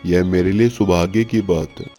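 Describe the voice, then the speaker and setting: A man acts an enigmatic young forest musician in a cartoon tale. He is courteous and humble, with a low, very mellow voice over soft music. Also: fairly even intonation, moderate pace, natural voice. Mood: smooth courtesy. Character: mysterious, courteous young flute-playing forest dweller. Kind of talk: Hindi cartoon story acting.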